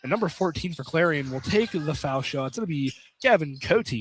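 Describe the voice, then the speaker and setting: A man's voice: fairly high pitch, lively intonation, a little fast, fairly bright tone. A man doing live play-by-play basketball commentary on radio. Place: college basketball field house. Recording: clearly noisy live broadcast.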